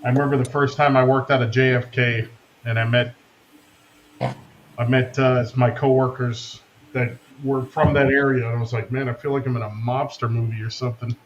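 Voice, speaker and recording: A man from Wisconsin telling stories in a relaxed, measured way during a casual group chat. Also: mid-range voice. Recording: slightly dull lo-fi line with faint noise.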